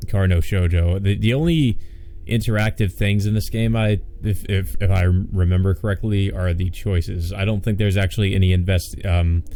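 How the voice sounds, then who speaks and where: A man's voice: deep voice, a little mellow. A man reading a visual novel aloud as a let's play host, with casual commentary. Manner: halting, repetitive stammer in asides.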